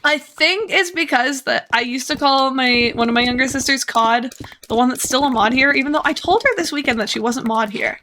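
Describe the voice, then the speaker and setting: A woman's voice: sits high, a little fast, pushed and bright, slight background noise. An American woman chatting casually on a live stream, rambling and hesitant with many restarts.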